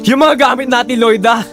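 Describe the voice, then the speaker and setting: A man playing an earnest, persevering husband who pleads for his family in a Filipino audio drama. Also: very high voice. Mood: panicked, distressed.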